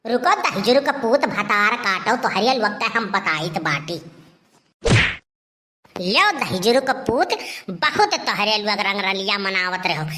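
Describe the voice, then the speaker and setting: A woman's voice: mid-range voice, expressive, a little slow, very noisy, natural voice. A woman voicing a melodramatic, scolding village woman in a comedy cartoon, with an Awadhi accent from eastern Uttar Pradesh. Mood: furious scolding. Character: loud village women, wailing and scolding over a cheating husband.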